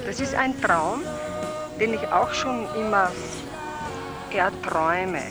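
A woman's voice: medium pitch, animated, takes it slowly, over loud music. A woman playing an eager, chatty small-town caller to a night radio show in a radio drama, with an Austrian accent and a hesitant, confiding manner. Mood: shy, admiring. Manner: hesitant, groping for words, confiding.

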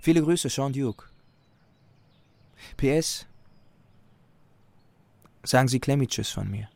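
A man's voice: medium pitch, expressive, slow, creaky, a little mellow, dry recording. A man playing a brash, foul-mouthed young handyman in a German radio drama, mocking and defiant as he voices his letter. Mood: mocking, insolent.

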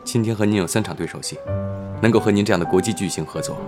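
An actor voices a polite, conscientious man who is often bewildered. The voice is low and natural, in audio drama style. Some music sits behind it. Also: fast, lightly acted. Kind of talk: audio drama acting with inner monologue.

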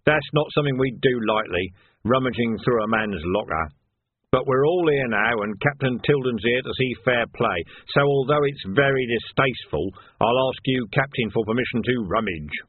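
A man's voice: mid-range voice, moderate pace, husky, no room sound, narrow, tinny audio, lightly acted. A man playing a smug, sly police sergeant in an audiobook, insinuating and falsely earnest. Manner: insinuating, mock-innocent. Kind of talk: audiobook character acting.

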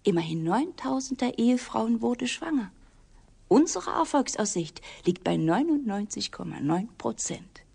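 A woman acting in a German radio drama as an obsessive, coolly unsettling scientist, speaking in a soft, intimate, matter-of-fact way with a husky, breathy voice. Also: fairly high pitch, very animated, a little slow, heavily acted.

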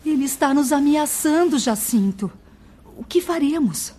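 An actress playing a virtuous, faithful wife in a radio drama, dramatic and emotional. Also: female voice, sits high, expressive, measured pace, mellow. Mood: fearful. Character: virtuous, frightened, faithful young wife and mother.

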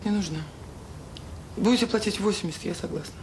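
An actress playing a reserved, eager job seeker at a children's home in a radio drama, speaking tersely and earnestly. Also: female voice, mid-range voice, fast talker, darkish tone, lightly acted. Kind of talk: naturalistic radio drama acting. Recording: noisy old radio play recording.

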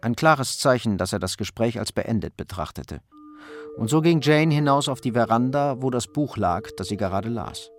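A man reads scripted crime narration. His mid-range voice moves with a lot of variation in intonation. Music plays clearly behind him. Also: mid-range voice, animated, normal speed.

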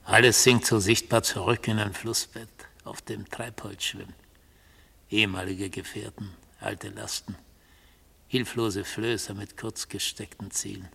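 Radio drama defendant: grave mystic, heavily creaky, lowish, declaiming with cool disdain. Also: male voice, level tone, a little slow, fairly bright tone, full character voice. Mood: disdainful, measured. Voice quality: gravelly, husky. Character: stern, mystical accused man, philosophising in court. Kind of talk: 1970s radio drama monologue.

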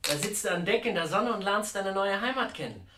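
An actor playing an outwardly solicitous, privately calculating nephew in a German radio drama, with a polite, fussy manner. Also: male voice, sits high, lively intonation, a little fast, pushed and bright, a little hiss or hum. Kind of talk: German radio crime-comedy acting.

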